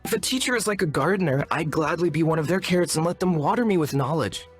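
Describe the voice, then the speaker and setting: A man's voice: on the high side, expressive, fast talker, husky. An actor playing an earnest, courteous and wordy young shaman apprentice in a video game, speaking in a formal, polite manner. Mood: earnest, deferential. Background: music.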